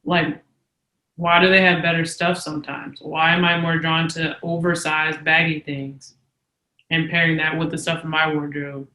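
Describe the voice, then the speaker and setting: A woman's voice: sits low, flat delivery, moderate pace, pushed and bright, echoey room, rough recording. A young woman co-hosting a casual podcast who speaks candidly and emphatically and says "like" a lot. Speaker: podcast co-host.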